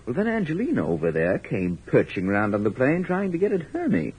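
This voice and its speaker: An actor playing a jaunty, wisecracking adventurer with breezy banter in 1940s radio style. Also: male voice, medium pitch, huge pitch swings, brisk, darkish tone, husky. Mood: explaining. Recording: muffled old radio recording.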